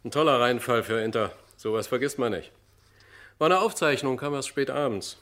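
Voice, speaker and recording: A mid-pitched male voice of an actor as a pub regular in a radio crime play. He is offhand and blunt, answering grudgingly and warily in everyday colloquial speech. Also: normal speed, a bit bright, natural voice. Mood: offhand, assured. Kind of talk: German radio crime drama acting.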